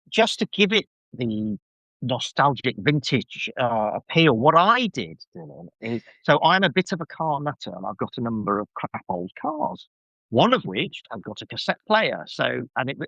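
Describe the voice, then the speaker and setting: Male podcast guest, middle-aged, effusive and self-deprecating, animated higher-pitched voice, dry close sound. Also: normal speed, gravelly, no room sound, dull audio. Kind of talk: casual enthusiastic review chat.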